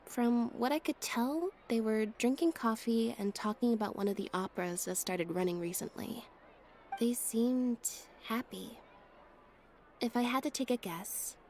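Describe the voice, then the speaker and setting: An actress voicing a quiet, composed young woman in a game, speaking softly. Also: fairly high pitch, measured pace, slight background noise. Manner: calm, soft, reserved delivery.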